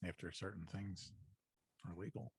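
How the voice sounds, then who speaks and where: A man's voice: low-pitched, normal speed, vocal fry, breathy edge. An American man co-hosting a podcast in casual banter, blunt and sardonic, with a good deal of swearing. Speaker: podcast co-host.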